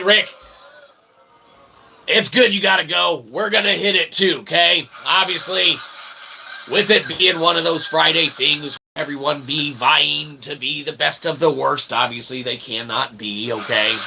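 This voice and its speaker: A man's voice: on the high side, vocal fry, sharp tone, slight background noise. A man hosting a show in a rambling, jokey, theatrical way with mock-formal wordy phrasing. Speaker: show host and author. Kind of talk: rambling show sign-off addressed to audience.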